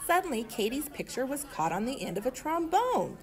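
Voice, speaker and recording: A woman reading a children's story aloud in an expressive manner. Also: sits high, brisk, some chatter behind. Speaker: storybook reader.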